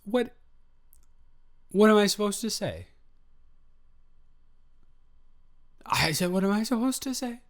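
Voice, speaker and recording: A man's voice: sits high, very animated, moderate pace. A man playing an eager, smitten young boyfriend in an improvised intimate audio roleplay, stammering and correcting himself as he goes. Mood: flustered. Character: eager, smitten, flustered young boyfriend, sweet and easily overwhelmed.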